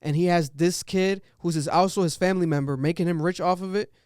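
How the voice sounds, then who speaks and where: Podcast co-host, male, fairly high voice, smooth tone; loose, joking, animated talk. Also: no echo, clean studio sound. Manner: animated, laughing, quick asides. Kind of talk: casual podcast chat.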